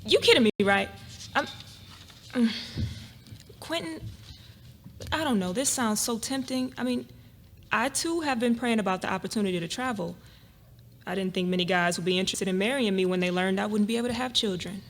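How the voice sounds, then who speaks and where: A woman playing a wary, independent young college graduate in a live dramatic reading, skeptical, expressive and colloquial. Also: mid-range voice, a little fast, long pauses, lightly acted. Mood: doubtful, tempted. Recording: noisy live room recording.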